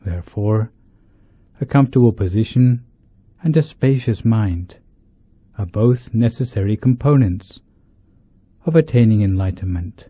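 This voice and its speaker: A man, a monk leading a guided meditation, speaking in deliberate short phrases with pauses between them. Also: on the low side, animated, dark tone. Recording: dull lo-fi, roomy echo.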